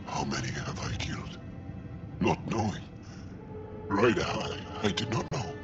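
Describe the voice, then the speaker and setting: An intense, gravelly alien fighter. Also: male voice, fairly low pitch, expressive, a little slow. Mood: grief-stricken. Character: earnest, anguished male alien resistance fighter. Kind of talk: video game dramatic acting. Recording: dull game audio under music.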